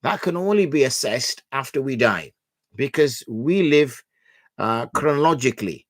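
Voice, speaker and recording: A man, an Islamic scholar, answering viewer questions on religious rulings in a measured, patient way, explaining with everyday examples. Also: medium pitch, lively intonation, no room sound, clean studio sound.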